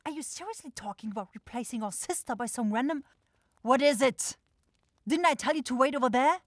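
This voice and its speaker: A woman's voice: sits high, brisk, dry recording, clean studio sound, close to an everyday voice. A woman playing a self-assured, impatient demon shopkeeper in a fantasy ASMR audio roleplay, with a theatrical, coaxing salesy manner. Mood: irritated. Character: bossy, salesy demon-like soul shop keeper sister, impatient.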